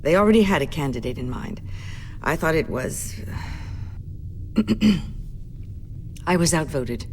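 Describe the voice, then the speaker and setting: An actress playing a businesslike company executive in a video game, formal, measured and coolly apologetic. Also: female voice, low-pitched, lively intonation, slight background noise. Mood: uneasy, formal. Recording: music playing behind the voice.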